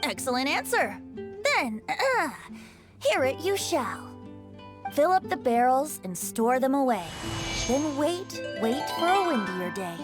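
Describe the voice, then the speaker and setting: A high-pitched, boyish young bard, whimsical and theatrical. The delivery is very lively and lilting, in anime game style with music behind. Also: female voice, takes it slowly, heavily acted. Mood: reciting verse.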